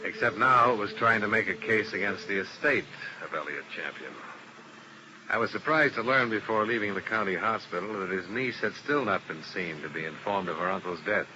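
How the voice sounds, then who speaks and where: A man's voice: fairly low pitch, brisk, natural voice. A man playing a tough, world-weary insurance investigator in 1950s radio detective style, clipped and terse. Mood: grim, matter-of-fact. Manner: clipped, hard-boiled, terse. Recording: noisy old radio broadcast recording.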